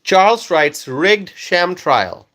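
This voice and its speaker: Man from Bangladesh, Bengali first language, live stream host, slightly high voice, slow repetitive delivery. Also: lively intonation, a bit bright. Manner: slow, repetitive, coaxing viewers. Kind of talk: live stream chat, reading comments.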